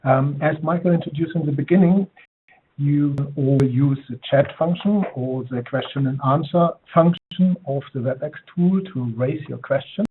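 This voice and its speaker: A man's voice: on the high side, moderate pace, a little hiss or hum. A man moderates a webinar, thanking the speaker and inviting questions, talking fluently with occasional fillers. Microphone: web conference audio. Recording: tinny phone-like web call audio with clicks.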